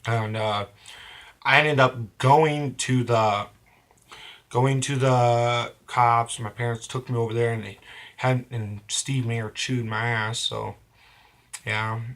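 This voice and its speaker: Young guy with a cold, hoarse but bright voice, rambling confessional storytime, keeps restarting sentences. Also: mid-range voice, fairly even intonation. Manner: rambling, halting, frequent restarts and self-corrections. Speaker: vlogger. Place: at home.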